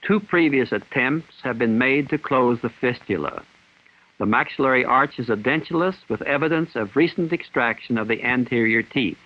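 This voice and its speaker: A man reading scripted instructional narration in a formal, measured, clinical manner, in the style of a 1960s training film. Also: medium pitch. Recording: dull 1960s film soundtrack.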